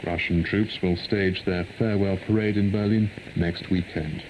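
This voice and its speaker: A man reads a formal, measured world news bulletin, heard over shortwave radio. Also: deep voice, steady tone. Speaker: radio newsreader. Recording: noisy 1990s shortwave radio reception.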